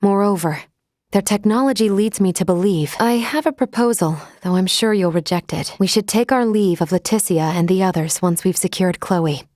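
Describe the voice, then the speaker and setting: A woman playing a logical, polite, protective android first mate in a video game, speaking with formal, precise, measured diction. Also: medium pitch, darkish tone, dry recording, studio quality, lightly acted. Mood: analytical, measured. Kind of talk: scripted video game character lines.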